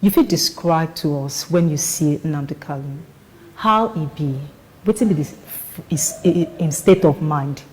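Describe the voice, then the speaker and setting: Woman, Nigerian accent, deep for a female voice, news interviewer, questioning, long reverb. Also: unhurried, darkish tone, a little hiss or hum. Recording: echoey room with long reverb.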